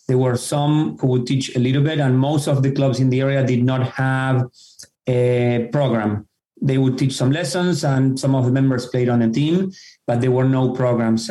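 A man's voice: medium pitch, warm tone. A middle-aged man from Argentina, a Spanish speaker, explaining things casually as a podcast guest. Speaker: podcast guest, tennis professional. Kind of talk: casual explanatory interview talk. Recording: roomy, echoing sound.

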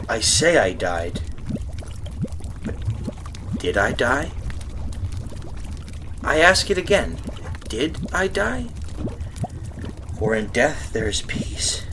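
An actor playing a guilt-ridden, terrified dead man in a horror radio play, narrating melodramatically and haltingly with repeated phrases. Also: medium pitch, fairly bright tone, slight background noise. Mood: hushed, haunted.

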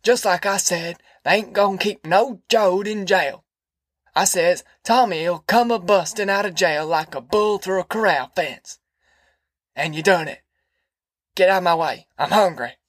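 A woman's voice: fairly low pitch, measured pace, no echo, studio quality, pitched above the performer's own voice. A woman voicing a boastful, cussing old grandfather with a folksy rural drawl. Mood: rowdy.

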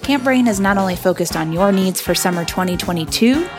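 A woman's voice: medium pitch, lively intonation, fairly quick. A woman reading a scripted sponsor ad. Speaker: sponsor announcer. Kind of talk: scripted ad read. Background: music.

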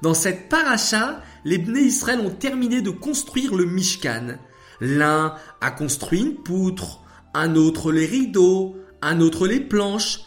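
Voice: medium pitch, sing-song, a little slow, faint music behind, voice pushed up. A storyteller voicing a curious, enthusiastic little girl who talks eagerly to her papa. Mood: enthusiastic, proud. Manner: eager, exclaiming, astonished questions.

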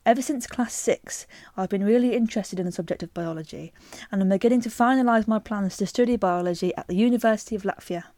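A woman co-hosting a podcast, chatting casually and reading a listener's email aloud, lively and quick to laugh. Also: mid-range voice, no room sound, clean studio sound. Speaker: podcast co-host.

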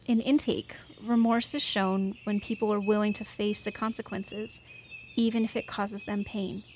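A woman's voice: mid-range voice, fairly even intonation, moderate pace, a little mellow, a little hiss or hum, lightly acted. A woman playing an earnest, fierce but contrite young woman in a naturalistic podcast drama, speaking sincerely and carefully. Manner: sincere, careful, emotionally open. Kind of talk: naturalistic fiction podcast acting.

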